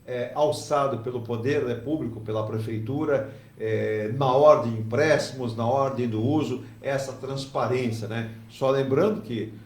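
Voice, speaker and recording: A male talk show host with a mid-pitched voice, speaking conversationally. He uses plenty of fillers and tag questions. He sounds roomy and set back from the microphone. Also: moderate pace, few pauses. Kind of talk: hosting a discussion. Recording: roomy and distant from the mic.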